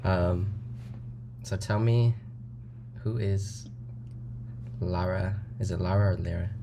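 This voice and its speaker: A man hosting a podcast, talking casually and haltingly with many fillers. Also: deep voice, steady tone, noisy background. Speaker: podcast host. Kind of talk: casual interview conversation.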